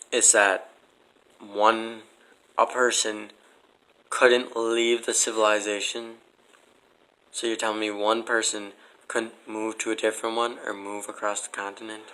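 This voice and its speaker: Man, lowish pitch, sharp bright tone, blunt and skeptical, casual interview guest. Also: little pitch movement, unhurried, long pauses, clean tone, rough recording. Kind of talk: casual chat.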